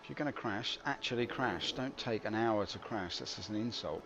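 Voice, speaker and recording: A man commenting on his live game stream, grumbling and mocking himself with dry humour. His voice sits low with a gravelly edge. Game music plays clearly behind him. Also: moderate pace, highs cut off. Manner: grumbling, wry and self-mocking.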